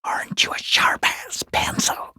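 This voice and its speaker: A man playing a sneering, furtive peeping lurker in a video game, with a hoarse, breathy, half-whispered rasp and a sarcastic, taunting drawl. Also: measured pace, sharp tone. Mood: mocking, amused. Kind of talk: video game character acting.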